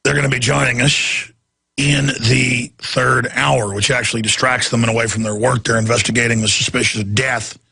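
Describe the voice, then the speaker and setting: A hoarse, raspy man hosting a radio talk show, straining his voice as he rants in indignant political commentary from a studio. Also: mid-range voice, fairly even intonation, moderate pace, pushed and bright, dry recording. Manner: ranting, indignant.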